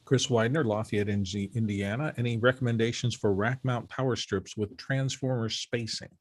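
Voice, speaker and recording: A man reading viewer questions aloud to a panel in a plain, steady way. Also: mid-range voice, moderate pace, no room sound.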